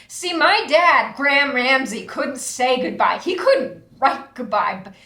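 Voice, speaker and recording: An actress reading the part of a troubled grown daughter at a screenplay table read. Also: female voice, high-pitched, big pitch swings, unhurried, bright, forceful tone, full character voice. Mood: anguished. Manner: emotional, pleading. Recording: echoey room.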